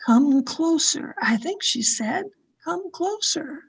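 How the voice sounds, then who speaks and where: A woman's voice: high voice, animated, takes it slowly, gravelly, full character voice. A woman playing an anxious, domineering wife, demanding and fretful, in old time radio horror style. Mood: fearful. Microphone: video call.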